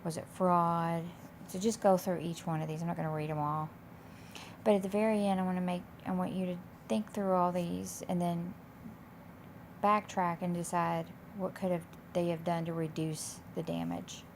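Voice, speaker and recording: A woman instructor with a slightly raspy, hoarse voice, explaining coursework in a casual, loosely phrased, conversational way. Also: lowish voice, level tone, moderate pace. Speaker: college instructor. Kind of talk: informal explanation of course assignments. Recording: clear background noise.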